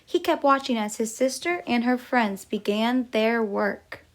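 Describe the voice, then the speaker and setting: Woman reading a children's story, higher-pitched. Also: lively intonation, unhurried. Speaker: storyteller, teacher. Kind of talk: children's story read aloud.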